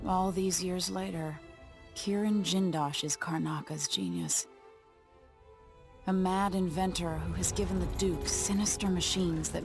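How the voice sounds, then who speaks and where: A woman playing a young deposed empress turned assassin in a video game, resolute and composed, with a measured delivery. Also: lowish voice. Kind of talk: video game cutscene acting.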